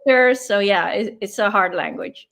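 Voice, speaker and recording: A woman's voice: medium pitch, a little fast, vocal fry, a bit bright. A woman whose first language is Dutch, a guest in a casual interview, explaining things patiently with many fillers.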